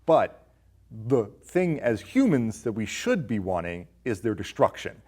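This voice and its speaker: A man's voice: fairly low pitch, sing-song, measured pace, a little mellow. A man, around forty, hosting a film review show and talking through ideas casually with a guest.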